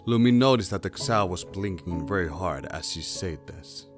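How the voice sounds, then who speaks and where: Low-voiced man narrating a dramatic story. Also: normal speed, faint music behind.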